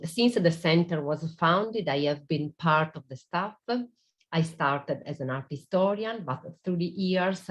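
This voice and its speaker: A woman giving a formal, polite conference talk over a video call. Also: deep voice. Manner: careful, polite, measured delivery. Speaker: conference speaker. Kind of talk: formal online presentation. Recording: dull lo-fi video call audio.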